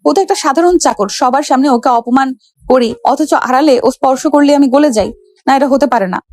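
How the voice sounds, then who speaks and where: A woman's voice: sits high, quick pace, a little mellow, dry recording, studio quality, close to an everyday voice. A woman playing a haughty, hot-tempered young wife who bosses her servant husband in a romantic audio story. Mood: flustered. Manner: scolding, bossy, dramatic.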